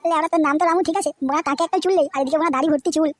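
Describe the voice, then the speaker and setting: A woman's voice: high-pitched, steady tone, racing, dry recording, lightly acted. A woman voicing a cocky, foul-mouthed, lovestruck young man in a comedy cartoon, with boastful, teasing banter. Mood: cocky, excited.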